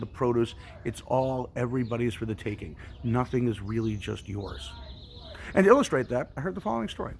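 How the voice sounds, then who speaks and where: Male youth storyteller and teacher, colloquial and expressive, brisk pace, gravelly fry, light noise behind. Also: a little high. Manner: casual, colloquial, acts out examples. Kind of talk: animated religious storytelling for children.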